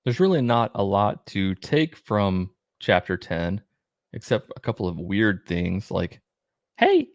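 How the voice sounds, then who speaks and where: A man's voice: low-pitched, unhurried, no room sound, clean studio sound. A man reading aloud and commenting in a plain-spoken, irreverent way, as host of his own show. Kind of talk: read-aloud narration with casual irreverent commentary.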